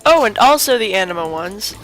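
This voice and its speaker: A woman's voice: mid-range voice, lively intonation, fairly quick, fairly bright tone, low-quality recording. Woman muttering through casual gameplay commentary. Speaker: game streamer. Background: game music.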